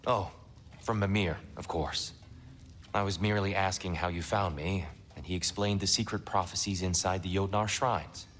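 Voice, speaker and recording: A man playing a gentle, humble, towering god in a video game, speaking formally and courteously. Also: sits low, steady tone, moderate pace. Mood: gently earnest. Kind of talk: video game drama acting.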